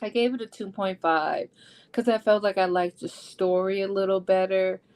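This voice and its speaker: A woman's voice: mid-range voice, fairly even intonation, normal speed, a little mellow, smooth. A woman giving a casual, chatty book recap in a playful, sassy, slangy way, like a vlog host. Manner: sassy and playful, slangy, acting out characters' lines. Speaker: book vlogger hosting a readalong discussion.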